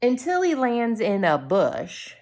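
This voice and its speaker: A woman reading a storybook aloud to children, expressively, with deliberate dramatic pauses and short asides to her viewers. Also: a little high, huge pitch swings, a little mellow.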